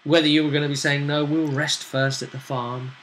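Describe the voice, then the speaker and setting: A man chatting casually as game master, recapping a tabletop role-play session in a halting, storytelling way with pauses. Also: on the high side, flat delivery, fairly bright tone, a little hiss or hum.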